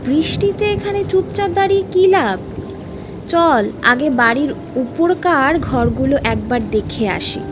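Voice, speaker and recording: A woman's voice: high-pitched, animated, unhurried, over music. A woman voicing an impatient, practical male traveller in a horror audio story, direct and insistent. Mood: urgent.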